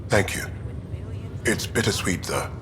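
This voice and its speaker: Sad older brother colonist in a sci-fi game, real deep, subdued, rumbly noise behind. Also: male voice, lively intonation, a little slow. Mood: grieving, subdued. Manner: subdued, sombre, sincere. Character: grieving older brother, a colonist fresh from cryo sleep. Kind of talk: dramatic video game acting.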